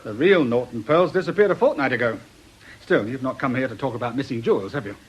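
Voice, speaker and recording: An actor playing an affable, dry middle-aged police inspector in a conversational radio drama style. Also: male voice, mid-range voice, lively intonation, fast talker, slightly rough, lo-fi sound. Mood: dry, matter-of-fact.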